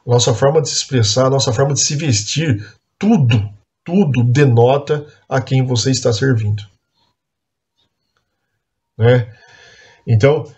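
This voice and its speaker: A man's voice: mid-range voice, a little mellow. Brazilian guy leading sunday school, keeps tossing in little tag questions, earnest, muffled sound. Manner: conversational, frequent tag questions and fillers; earnest, admonishing. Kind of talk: church Bible class teaching.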